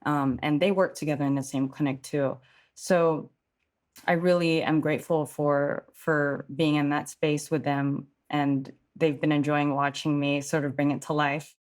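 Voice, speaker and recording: Woman, low voice, from California, actor guest, reflective, explains at length. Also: flat delivery, unhurried. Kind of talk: interview answers.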